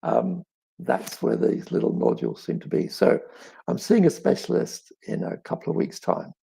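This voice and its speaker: A middle-aged man with a crackly, raspy voice, as if with a frog in his throat, chatting reflectively as an author and telling stories with thoughtful pauses and emphasis. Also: fairly low pitch, wildly swooping pitch, dark tone. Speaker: author answering audience questions. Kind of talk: reflective live chat, storytelling.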